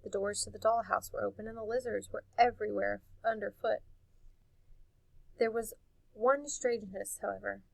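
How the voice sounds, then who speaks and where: A woman reading a storybook aloud in an expressive narrating style. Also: medium pitch, moderate pace, gravelly, no echo, poor audio. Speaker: audiobook reader.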